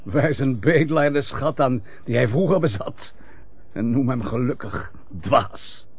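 An actor in a radio drama playing a proud, wounded, unforgiving husband, speaking formally and with bitterness. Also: male voice, mid-range voice, measured pace, breathy edge, no room sound. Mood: bitter. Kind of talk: classic European radio drama acting. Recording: dull lo-fi old radio recording.

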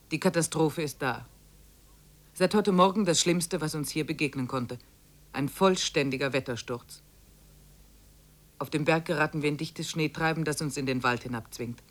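An actor playing a grave, weary expedition diarist, narrating dramatically in a 1950s German radio drama. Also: male voice, fairly high pitch, unhurried, pauses often, lightly acted. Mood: grave, anxious.

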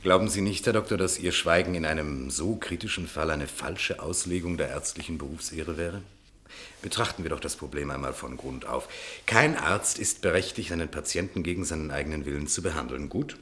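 An actor playing a persistent, argumentative defence lawyer in a German radio play, formal, probing and persuasive. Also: male voice, a bass voice, brisk, a bit bright. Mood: probing, challenging. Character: persistent, argumentative male defence lawyer pleading his case.

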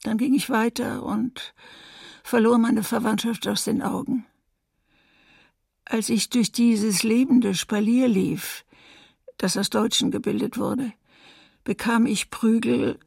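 An actress playing a grave woman survivor giving measured testimony in a documentary radio play. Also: a little high, gravelly, darkish tone, breathy edge, dry recording, clean studio sound, heavily acted.

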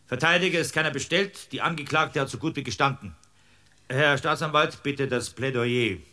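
An actor playing a brisk, wry Bavarian district judge, commanding and dryly amused, in a radio courtroom comedy. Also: male voice, medium pitch, bright, forceful tone, lightly acted.

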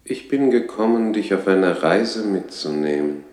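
An actor playing a commanding, stately spirit of Christmas past in a 1960s German radio drama, speaking in terse, solemn phrases. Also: male voice, deep voice, animated, measured pace, darkish tone. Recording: echoey, distant 1960s radio studio.

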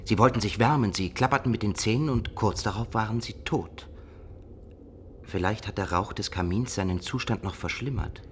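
An actor playing a sceptical, reasoning companion of a medieval emperor in a radio play. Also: male voice, fairly low pitch, moderate pace, a little hiss or hum, natural voice. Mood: insistent, reasoning.

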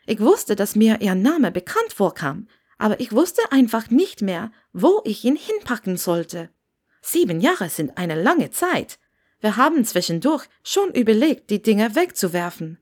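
A woman's voice: mid-range voice, very animated, unhurried, darkish tone. A woman voicing a talkative, inquisitive female innkeeper who spooks easily, in an audiobook story. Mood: surprised, chatty.

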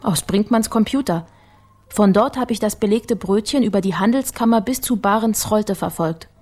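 A shrewd woman researcher, played by an actress in a radio crime drama, with a medium-pitched voice. She explains things coolly and confidently. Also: normal speed, a little mellow, natural voice. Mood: cool, explanatory. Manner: matter-of-fact, confident.

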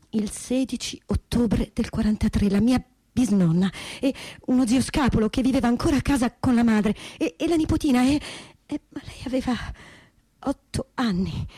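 Actress as woman, candid and searching, halting stage testimony; high soft-edged voice, husky, very dry sound. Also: no echo. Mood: halting, grave. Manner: halting, repeating words, colloquial. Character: woman recounting a painful family secret, candid and searching. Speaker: stage reader. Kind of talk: dramatic reading of a first-person testimony in conversational style.

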